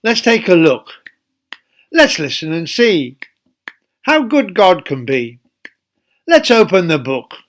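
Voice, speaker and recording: Slow-speaking man reading a children's story. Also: high-pitched, wildly swooping pitch, a bit bright. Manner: deliberate, addresses young listeners with questions. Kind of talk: read-aloud children's Bible story.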